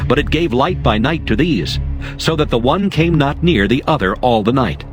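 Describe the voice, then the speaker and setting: A man narrating a dramatized scripture reading in a slow, steady and formal way. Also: medium pitch. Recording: music underneath.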